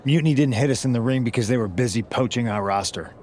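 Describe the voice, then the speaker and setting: A man's voice: medium pitch, fast, darkish tone, a little hiss or hum, close to an everyday voice. A man playing a serious, pragmatic wrestler in a video game cutscene. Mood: concerned, matter-of-fact. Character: serious, pragmatic male wrestler delivering team updates.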